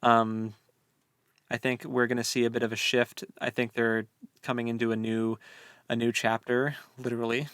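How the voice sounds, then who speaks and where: A man's voice: mid-range voice, little pitch movement, normal speed, a bit bright, clear, resonant tone, no room sound, studio quality. A man co-hosting a book discussion in a casual chat style, thinking aloud in a hesitant way. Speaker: podcast co-host.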